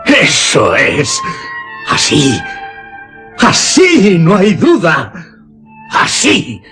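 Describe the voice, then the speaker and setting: An actor playing an obsessed, half-mad pilgrim musician in a radio drama, impassioned and solemn, with a rough husky voice. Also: male voice, sits high, huge pitch swings, very slow, pushed and bright, faint music behind, full character voice. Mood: ecstatic frenzy.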